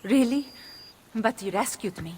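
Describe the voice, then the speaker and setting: An actress playing a gentle, loving wife in a video game, soft and tender. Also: female voice, on the high side, animated, measured pace, long reverb tail, a little hiss or hum. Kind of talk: video game cutscene acting.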